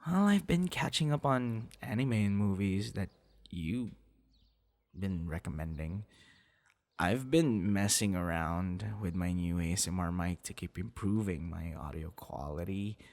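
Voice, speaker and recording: Bashful, low-voiced young boyfriend played by a man. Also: lively intonation, unhurried, darkish tone. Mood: sheepish, chatty. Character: affectionate, bashful young man on a picnic date. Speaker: ASMR voice actor. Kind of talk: ASMR boyfriend roleplay.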